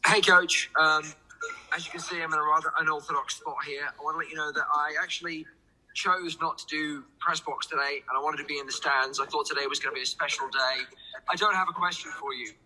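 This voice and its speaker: A man's voice: on the high side, steady tone, brisk, sharp tone, poor audio. A sports reporter, a man, heartfelt and emphatic, thanking a coach from the stadium stands in a recorded press clip.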